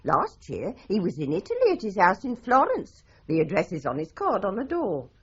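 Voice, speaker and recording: A woman's voice: medium pitch, huge pitch swings, normal speed, husky, highs cut off, exaggerated voice. An actress playing a garrulous, dramatic middle-aged English landlady in a radio drama, with florid, declamatory storytelling. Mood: dramatic recounting. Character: garrulous, dramatic London landlady, respectable and indignant. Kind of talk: 1980s radio drama acting.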